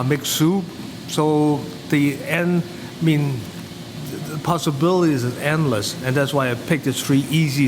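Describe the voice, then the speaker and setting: A middle-aged man from Hong Kong with a Hong Kong accent, a chef and teacher talking casually in a rambling, hesitant way. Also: a little high. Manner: rambling, hesitant, non-native phrasing. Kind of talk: casual interview answers and explanation. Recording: noisy, long-reverberant room.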